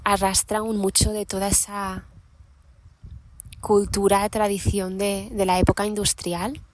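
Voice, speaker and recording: A young woman hosting a podcast, musing aloud in an unscripted monologue while sitting outdoors in a mountain meadow, thoughtful and unhurried with many pauses and restarts. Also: mid-range voice, dry recording. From Catalonia, Spain. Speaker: podcast host.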